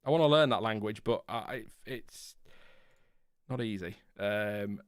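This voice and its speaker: Chatty British man, smooth voice. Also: on the low side, measured pace, dry recording, clean studio sound. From Britain. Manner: chatty, rambling, thinking aloud with fillers. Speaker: host. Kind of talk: casual live race reaction.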